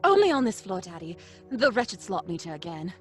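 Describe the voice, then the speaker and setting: A woman playing a teasing, inquisitive young gentlewoman in an old-fashioned radio drama. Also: mid-range voice, very animated, fairly quick, soft background music, natural voice. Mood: teasing, curious.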